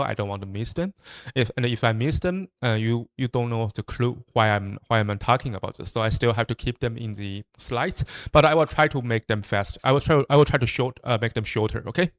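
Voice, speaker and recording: A man's voice: mid-range voice, no room sound, studio quality. Man giving a lecture. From Taiwan. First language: Mandarin. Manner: frequent restarts and fillers, explains step by step. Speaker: presenter, high school teacher. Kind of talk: lecture presentation.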